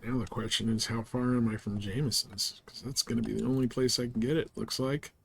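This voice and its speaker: A man's voice: medium pitch, steady tone, a little fast, creaky, slight background noise. A man chatting informally and colloquially with viewers while he plays a game on a livestream. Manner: laid-back, informal, colloquial. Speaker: live game streamer. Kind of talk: casual gameplay chat with stream viewers.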